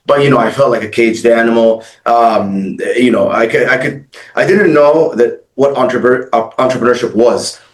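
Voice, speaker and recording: A man in his thirties from Egypt and Dubai, telling his story as an interview guest in a casual, fast way with lots of fillers. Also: medium pitch, echoey room. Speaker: guest, agency founder.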